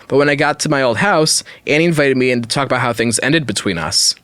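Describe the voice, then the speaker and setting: A man's voice: medium pitch, fairly bright tone, dry recording. A man hosting a podcast, reading an online story aloud in a casual way with wry, jokey asides. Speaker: podcast host.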